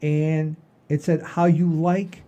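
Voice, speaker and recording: Mellow-voiced man telling rambling stories. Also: fairly high pitch, flat delivery, slow pace, lo-fi sound. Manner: rambling, repeats himself, folksy "I says". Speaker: comedy podcast host. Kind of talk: casual comedic chat, telling anecdotes.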